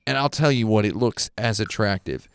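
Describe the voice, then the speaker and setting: A man in his late thirties co-hosting a game stream, spinning absurd jokes in a deadpan way over casual chat. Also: on the low side, moderate pace, clear, resonant tone. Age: 30s. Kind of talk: casual joking chat while playing. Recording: music playing behind.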